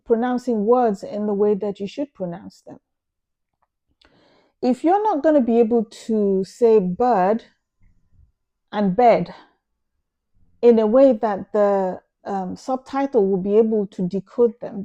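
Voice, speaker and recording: Earnest Nigerian woman with a mellow voice. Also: fairly high pitch. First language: Igbo. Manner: earnest, emphatic, measured explanation. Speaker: vlogger. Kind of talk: opinion monologue to camera.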